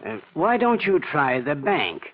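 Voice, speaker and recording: A man's voice: on the high side, big pitch swings, measured pace. An actor playing a wealthy, evasive man with a condescending manner, in 1940s radio drama style. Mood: cool, evasive. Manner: smooth excuses, condescending. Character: evasive wealthy man, coolly refusing an old friend. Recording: dull old 1940s radio recording.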